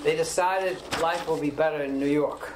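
An older man from Austria, a native German speaker, recalling his life story in a halting way with repeated words, recorded in his home kitchen. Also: fairly high pitch, steady tone, reverberant, background noise. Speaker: interviewee. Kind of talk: oral history recollection.

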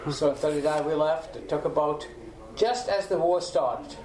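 An older man from Austria, a native German speaker, recalling his life story in a halting way with repeated words, recorded in his home kitchen. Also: on the high side, a little mellow, roomy sound, slight background noise. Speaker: interviewee. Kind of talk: oral history recollection.

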